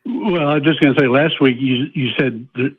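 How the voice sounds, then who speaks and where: Male phone-in caller, thin telephone sound, mid pitch, debating live. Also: fairly even intonation, fairly quick, slightly rough. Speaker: caller to a show. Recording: narrow, tinny phone line.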